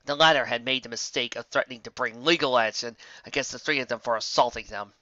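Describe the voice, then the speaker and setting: A man narrates a fantasy story in a bright, forward voice. He reads expressively, and the recording is very dry and close. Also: medium pitch, fast, no room sound, dull audio. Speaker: fan fiction reader.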